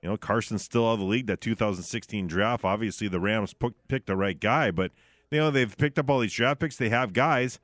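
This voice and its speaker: A man's voice: fairly low pitch, fairly quick, a bit bright, no room sound. A man co-hosting a sports radio show from a studio, talking casually and offering opinions on games and players.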